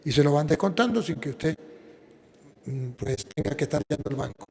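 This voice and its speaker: Venezuelan priest preaching in a reverberant hall. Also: male voice, fairly high pitch, lively intonation, creaky, a little mellow, a little hiss or hum. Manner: preaching with slow, emphatic stresses, then plain appeal. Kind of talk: sermon and prayer.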